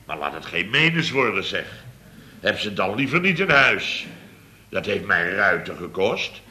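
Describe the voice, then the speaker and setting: An actor playing a blustering, indignant boss of a building firm in a Dutch radio comedy, exclaiming and grumbling. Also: male voice, sits low, very animated, a little slow, pushed and bright, full character voice. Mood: exasperated. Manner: exclamatory, grumbling, tags sentences with "hè" and "zeg".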